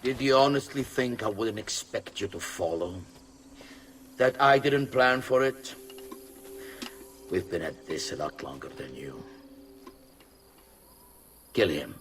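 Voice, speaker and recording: An actor playing a coldly mocking mastermind villain in a game cutscene, with a condescending manner. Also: male voice, fairly low pitch, animated, a bit bright, far from the mic, slight background noise. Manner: slow, condescending. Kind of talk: dramatic game cutscene acting.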